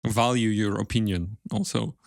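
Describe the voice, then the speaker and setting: A man's voice: on the low side, fairly even intonation, moderate pace, vocal fry, no echo, studio quality. A man hosting a podcast in a casual, conversational way, offering encouraging and tentative suggestions. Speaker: podcast host. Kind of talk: casual interview chat.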